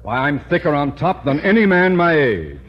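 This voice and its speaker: An actor playing a pompous, blustering middle-aged school principal in a 1950s radio sitcom, long-winded and stiff. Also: male voice, a little high, very animated, moderate pace. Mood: indignant. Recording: muffled old radio broadcast with hiss.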